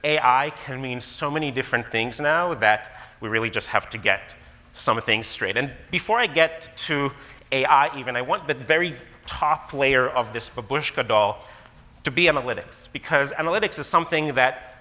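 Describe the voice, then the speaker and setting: A man in his thirties, originally from Israel, giving a casual, animated conference talk as a guest speaker. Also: medium pitch, normal speed. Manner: casual, animated presenter with fillers. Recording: long hall reverb.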